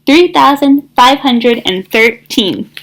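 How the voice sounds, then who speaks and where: A woman voicing a bossy, boastful young female duck in a storybook, excitable and commanding. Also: high-pitched, slow pace, heavily acted, low-quality recording.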